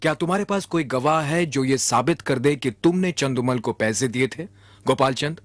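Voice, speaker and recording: A man playing a calm, shrewd royal adviser in a Hindi cartoon, measured and courteous. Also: medium pitch, no room sound, natural voice. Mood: inquiring.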